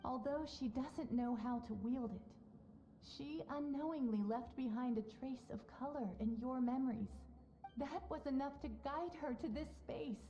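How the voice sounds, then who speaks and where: A woman playing a serene, wise mentor in a video game, speaking in a measured, solemn and gentle way. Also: high voice, slight background noise, rough recording. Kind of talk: video game character acting. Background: music.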